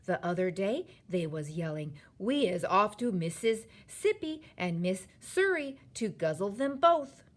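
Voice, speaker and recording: This is a woman performing a gentle old giant from a children's storybook. The voice is husky and slow, with a gloomy, stubborn temper. The giant speaks in muddled folksy grammar full of invented words. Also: medium pitch, big pitch swings. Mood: weary, firm. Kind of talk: children's storybook character reading.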